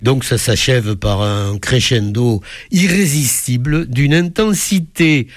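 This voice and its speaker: A man's voice: mid-range voice, slow, no room sound, studio quality. A man giving an enthusiastic spoken concert review as a music critic, effusive and fond of literary turns of phrase.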